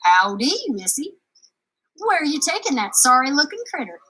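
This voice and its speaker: A woman's voice: high voice, sing-song, normal speed, pushed and bright, voice pushed up, full character voice. A woman voicing a sly cowboy stranger in a storybook, coaxing like a folksy trader. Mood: hearty.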